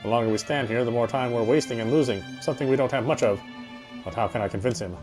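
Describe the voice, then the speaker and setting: A man's voice: medium pitch, quick pace. A man reading a game's story aloud as dramatic narration, as a player would. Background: game music.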